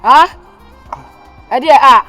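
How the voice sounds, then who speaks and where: High-voiced mother, played by an actress. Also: very slow, bright, forceful tone, faint music behind, full character voice. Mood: stern lecturing. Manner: lecturing at length, with emphatic repetitions. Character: stern older mother lecturing, then pleading with her child. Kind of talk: Twi-language family drama acting.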